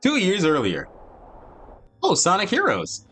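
A man's voice: high-pitched, huge pitch swings, measured pace, bright, forceful tone, breathy edge, faint music behind. A man hosting a reaction video, giving brief amused comments.

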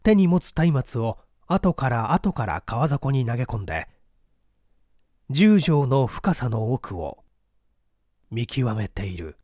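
A man reads literary fiction as a dramatic narrator. His voice is mellow and middle-pitched, moving widely in intonation. It is recorded very dry. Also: sing-song, slow pace, no echo. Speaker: audiobook narrator, stage actor.

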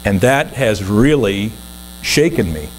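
A man's voice: fairly low pitch, big pitch swings, takes it slowly, slight background noise, low-quality recording. A man in his sixties, a guest minister, preaching an earnest, conversational sermon from the pulpit of a church.

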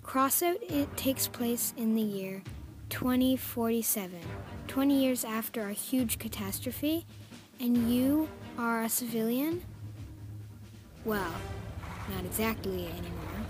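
A preteen child hosting a gaming video in a casual countdown style, slightly raspy and hoarse from being sick, with halting delivery and drawn-out emphasis. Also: medium pitch. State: getting over a sickness. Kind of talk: scripted-sounding countdown narration and casual vlog intro.

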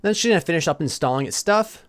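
A man giving a casual step-by-step software tutorial as a voice-over, addressing viewers informally. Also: a little high, animated, fast talker. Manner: instructional, addresses viewers informally as "you guys". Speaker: tutorial host.

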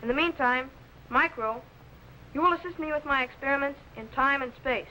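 A pompous young teenage boy in a vintage TV space serial. His voice is high and bright, carefully enunciated and full of self-importance, with faint old film noise. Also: normal speed, exaggerated voice, low-quality recording. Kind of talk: 1950s children's TV sci-fi acting.

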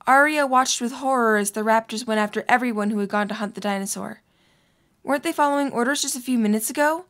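A woman's voice: a little high, fast talker, fairly bright tone. A woman reading scripted story narration as the narrator of an audio drama.

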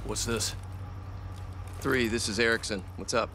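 A man playing a calm, wary convoy soldier with a clipped, matter-of-fact manner in a military game. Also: fairly low pitch, unhurried. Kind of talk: cinematic military game acting. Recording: clear vehicle noise behind.

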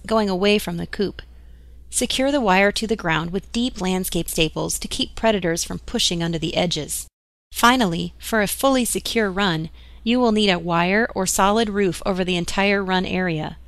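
Dry, measured woman reading instructions. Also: mid-range voice, no echo. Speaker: narrator of instructional slides. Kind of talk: scripted instructional narration.

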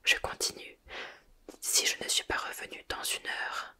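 A woman's voice: measured pace, pushed and bright. A woman reading the part of a brave, determined boy wizard in a whisper.

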